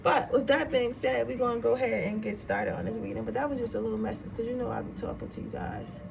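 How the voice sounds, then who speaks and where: A woman in her twenties with a raspy voice, chatting casually and rambling informally as she gives a tarot reading. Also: a little high, sing-song, fast talker, over a noisy crowd. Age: 20s. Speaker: tarot reader. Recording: noisy room, voice a bit far from mic.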